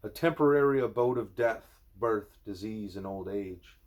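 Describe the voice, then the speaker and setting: A man reading a spiritual letter aloud at home, in a measured, earnest voice with deliberate pauses. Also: fairly low pitch, a little mellow. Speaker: reader of a spiritual book.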